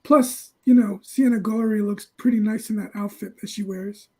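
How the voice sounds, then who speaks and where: A man's voice: high voice, steady tone, moderate pace, a little mellow. A man chatting casually as a panelist in an informal group discussion. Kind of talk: casual chat.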